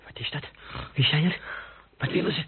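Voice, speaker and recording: Panicky high-voiced man, acted, heavy noise. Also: moderate pace, natural voice. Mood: panicked. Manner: panicky, hushed, pleading. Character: frightened person woken at night by police. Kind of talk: radio drama acting. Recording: very noisy muffled old radio recording.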